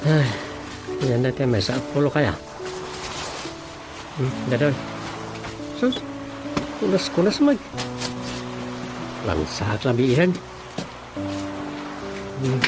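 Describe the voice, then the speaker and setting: An actor with an Ilocano Filipino accent playing a grumbling, flustered husband in a radio comedy drama, muttering in exasperation. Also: male voice, mid-range voice, big pitch swings, slow, pauses often, music in the background.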